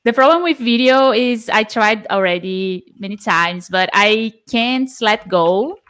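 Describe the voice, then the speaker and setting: A woman in her thirties with a Brazilian Portuguese accent, speaking English as a second language in a relaxed interview. She talks in halting bursts, full of fillers and soft laughs, at a medium pitch. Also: clean tone. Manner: hesitant, many fillers, frequent laughs. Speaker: guest. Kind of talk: casual interview chat.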